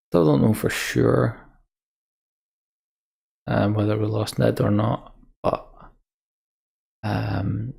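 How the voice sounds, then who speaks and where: A man giving casual, reflective game commentary as a let's play host, halting and wistful, often sighing. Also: on the low side, creaky, a little mellow, breathy edge. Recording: music behind the voice. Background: game soundtrack music.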